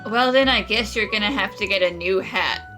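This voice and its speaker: A woman's voice: mid-range voice, moderate pace, over music, close to an everyday voice. A woman voicing a wry young adventurer over a video game, casual and sarcastic. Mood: dry.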